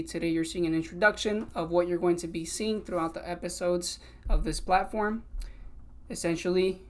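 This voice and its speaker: A man's voice: sits high, a little mellow. A man in his twenties from California, also raised in Mexico, hosting a solo podcast episode in an earnest, conversational way and switching between English and Spanish. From Sacramento, California, also raised in Mexico. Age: 20s. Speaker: podcast host.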